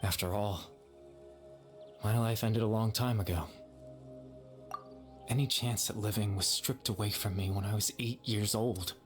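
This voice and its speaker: Man voicing a sorrowful, low-voiced young spirit. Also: fairly even intonation, over music, lightly acted. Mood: wistful, regretful. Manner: soft-spoken, regretful, measured, wistful. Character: gentle, sorrowful remnant consciousness of a dead young man. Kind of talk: video game voice acting.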